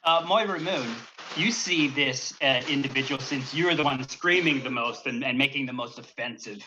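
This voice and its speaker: Dungeon master guy, bright forward voice, rambles with lots of ums, a bit lo-fi. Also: medium pitch, normal speed, slight background noise. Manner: rambling, hesitant, frequent fillers and laughter. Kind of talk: narrating a tabletop game livestream.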